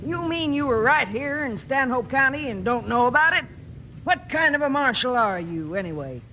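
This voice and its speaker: A woman's voice: sits high, lively intonation, moderate pace. A woman playing a feisty, bossy old frontier aunt in a western radio drama, scolding in a folksy, emphatic way. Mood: excited, indignant. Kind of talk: 1950s western radio acting. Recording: noisy old radio recording.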